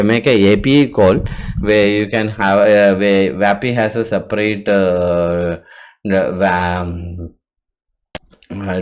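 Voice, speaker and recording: A man with a fairly low-pitched voice presents a software tutorial. He talks without a script, explaining each step as he goes. His delivery is halting, and he often doubles back to repeat a word or phrase. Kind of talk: unscripted software walkthrough.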